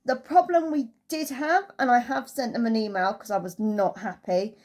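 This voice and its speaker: A woman's voice: on the high side, moderate pace, darkish tone. A woman giving a casual, chatty holiday review as a travel vlogger, telling it through anecdotes.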